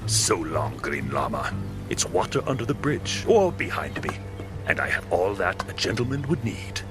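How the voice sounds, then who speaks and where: A man's voice: low voice, sing-song, normal speed. An actor playing a smug, gloating businessman villain in a radio drama, speaking in a pompous, taunting, theatrical way. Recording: music under the voice.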